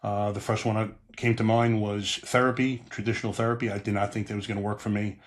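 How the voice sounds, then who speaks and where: A middle-aged man with a Long Island New York accent talks as a podcast host, plain-spoken and earnest, with many small "uh" fillers. His voice sits low and stays fairly flat. The delivery is steady rather than lively. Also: fast talker. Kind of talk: podcast monologue and interview.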